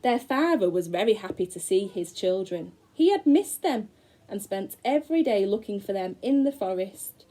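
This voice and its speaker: Expressive teacher reading a fairy tale. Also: female voice, medium pitch, rough recording. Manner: expressive, slow, dramatic storytelling with gasps. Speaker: primary school teacher. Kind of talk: storybook read-aloud for young children.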